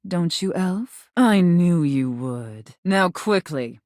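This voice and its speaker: Video game Santa boss: domineering, haughty, scolding; slow, deliberate delivery, close dry sound. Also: female voice, on the low side, lively intonation, a little mellow, dry recording. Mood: urgent, bossy. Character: stern, domineering woman playing a demanding Santa Claus boss. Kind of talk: theatrical video game voice acting.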